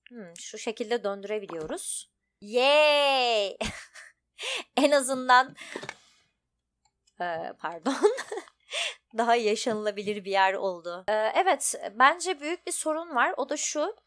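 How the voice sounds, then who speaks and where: Woman, higher-pitched voice, casual gaming commentary, chatty, thinking aloud. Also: expressive, takes it slowly, pauses often, fairly bright tone. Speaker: gaming video host. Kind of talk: casual let's play commentary.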